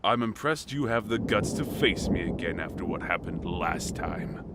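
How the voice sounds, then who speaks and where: A man's voice: low voice, animated, moderate pace, very noisy. A man playing a proud, scornful god-king warlord in a fantasy audio drama. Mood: taunting. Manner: arrogant, mocking, rising to shouts.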